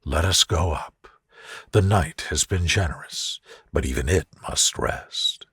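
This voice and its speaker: Guy playing a reserved gentleman in love, soft husky murmur, really slow, bedtime storybook. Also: deep voice, dry recording, studio quality, exaggerated voice. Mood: gentle, sleepy. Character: devoted, humbled, reserved gentleman lover, Darcy. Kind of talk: soothing sleep-story character reading.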